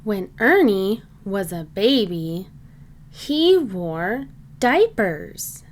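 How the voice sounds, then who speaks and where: A woman reading a children's storybook aloud in simple, deliberate phrases meant for young listeners. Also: mid-range voice, sing-song. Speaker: children's book reader.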